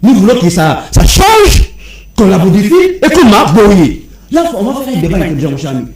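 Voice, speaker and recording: A high-voiced Congolese man argues politics in an echoey room with other voices around him. His delivery swings widely in pitch, insistent and combative, with phrases repeated for emphasis. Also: big pitch swings, a little fast, darkish tone. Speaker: political debate guest. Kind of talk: heated political debate.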